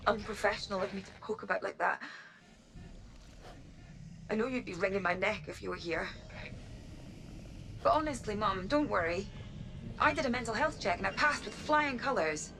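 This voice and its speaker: An actress playing an earnest, confiding young crew member, recording a message in a sci-fi game. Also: female voice, medium pitch, animated, normal speed, gravelly, pauses often, background noise. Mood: earnest, uneasy. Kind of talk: intimate sci-fi game monologue.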